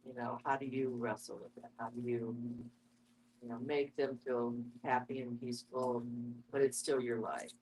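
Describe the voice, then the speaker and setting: A woman's voice: rumbling low, steady tone, moderate pace, darkish tone, a little hiss or hum, rough recording. A woman taking part in a casual group discussion, offering reflective comments. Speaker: discussion participant.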